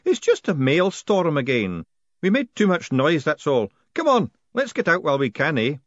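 A man's voice: fairly high pitch, huge pitch swings, normal speed, no echo, muffled recording, pitched above the performer's own voice. A man voicing a fatalistic old lodge master who talks in a weary, mournful way. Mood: gloomy, resigned.

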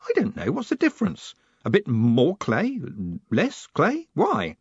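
A man's voice: wildly swooping pitch, a little slow, creaky, breathy edge, no echo, highs cut off, full character voice. A man doing a flustered, meek young postmaster. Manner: flustered, meek, pitch jumping high.

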